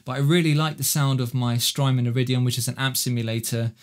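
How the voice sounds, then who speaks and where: A man with a medium-pitched voice talks through guitar gear as a presenter. His delivery is casual and explanatory, like an online tutorial. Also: little pitch movement, quick pace. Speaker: guitar effects demonstrator and presenter.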